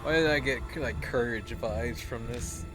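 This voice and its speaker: A man's voice: mid-range voice, measured pace. A man reading game text aloud as a let's player, with playful, sweary casual asides. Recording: loud music behind the voice. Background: loud game music.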